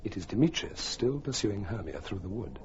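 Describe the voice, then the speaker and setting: Deep-voiced male narrator. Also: brisk, muffled recording, noisy background. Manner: calm, explanatory. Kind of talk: scripted narration.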